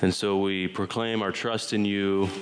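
A man leading a congregation in a slow, reverent spoken prayer, phrase by phrase. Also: sits low, level tone, background noise. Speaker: worship leader. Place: church sanctuary.

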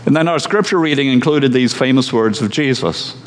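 Pastor preaching in a reverberant hall. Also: male voice, medium pitch. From Scotland. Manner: measured and conversational, with dry humor. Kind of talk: sermon. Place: church.

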